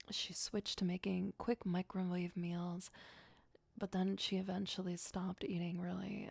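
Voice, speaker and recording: A woman playing a possessive, sweetly obsessive vampire girlfriend in an ASMR roleplay, mostly whispering in a breathy, intimate, doting way. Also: medium pitch, darkish tone, no echo, full character voice. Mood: admiring, obsessive.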